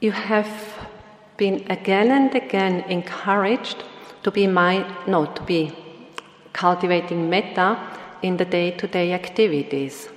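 A woman meditation teacher giving a Dhamma talk with a slow, gentle instructional delivery. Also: on the low side. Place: meditation hall. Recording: roomy hall with long reverb.

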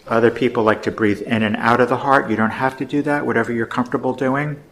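A man teaching meditation, giving gentle, unhurried guided instructions with pauses. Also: mid-range voice, steady tone.

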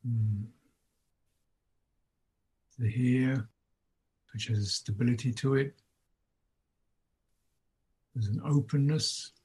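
A man leading an unscripted guided meditation as a teacher, speaking in a contemplative way with long pauses between short phrases. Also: medium pitch, darkish tone, slightly rough. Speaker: Buddhist monk, meditation teacher.

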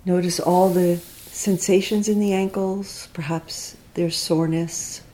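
A woman teacher leading a guided body scan meditation, speaking in gentle, reflective, unhurried instructions with long pauses. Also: lowish voice, steady tone, rough recording.

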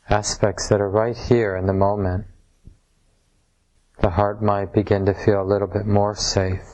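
A man with a fairly low, very even voice guides a meditation. He speaks in short, measured phrases and leaves space between them, teaching in a gently instructive way. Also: low-quality recording. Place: room at a retreat center.

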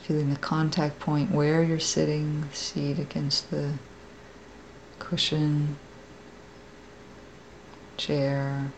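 Woman, meditation teacher, very slow pace, gravelly fry, soft gentle delivery, long pauses, guided meditation. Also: sits low, monotone, a little hiss or hum, rough recording.

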